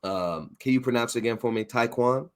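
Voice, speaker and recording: A man hosting a casual interview, talking in an animated, rambling, slangy way. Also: lowish voice, fast. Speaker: interviewer and host of a comics breakdown show.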